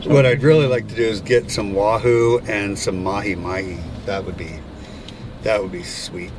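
A man's voice: lowish voice, fairly even intonation, normal speed. An older man in a casual, conversational chat, talking from inside a vehicle. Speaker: guest, outdoorsman. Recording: loud vehicle and air noise.

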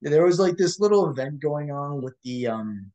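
A man's voice: a little high, lively intonation, normal speed, mellow, muffled recording. A young man from Michigan co-hosting a casual student chat, rambling a little with plenty of "like" and "um" and laughing easily. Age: young adult. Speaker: co-host.

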